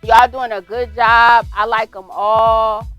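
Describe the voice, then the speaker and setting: Female rapper guest, animated, laughs a lot, light music bed. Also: on the high side, slow pace, clear, resonant tone, highs cut off. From Californian Bay Area. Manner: laughs often, animated, says "girl" a lot. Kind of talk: casual chat.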